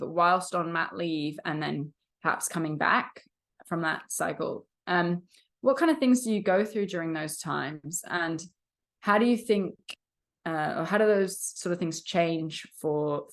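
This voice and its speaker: A woman hosting an interview podcast who asks her questions in long, hedging, meandering sentences. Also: fairly low pitch. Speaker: podcast host.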